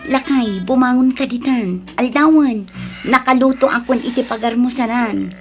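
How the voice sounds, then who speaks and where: An actress playing an exasperated, complaining wife of a farmer in an audio drama. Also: female voice, fairly high pitch, moderate pace, music in the background, lightly acted. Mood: impatient. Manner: nagging, complaining. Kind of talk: radio drama acting.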